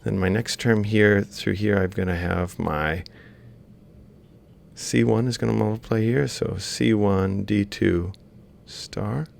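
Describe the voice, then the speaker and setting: A man giving an informal, step-by-step lecture, explaining haltingly as he works through examples. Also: lowish voice, creaky, darkish tone, slight background noise. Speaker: university robotics lecturer. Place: screen-recorded lecture voice-over.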